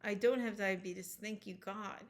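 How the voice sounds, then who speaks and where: Woman, Swedish accent, non-native English, earnest, rambling, self-correcting, mid pitch, casual vlog talk. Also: fairly even intonation, normal speed. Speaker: health vlogger. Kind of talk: casual personal talk to camera.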